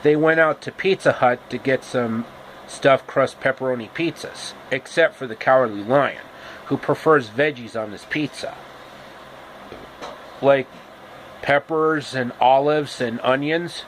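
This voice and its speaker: Male storyteller, middle pitch, informal and halting, unhurried delivery, noisy home-style recording. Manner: halting, deliberate phrasing. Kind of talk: informal, improvised storytelling with pop-culture asides.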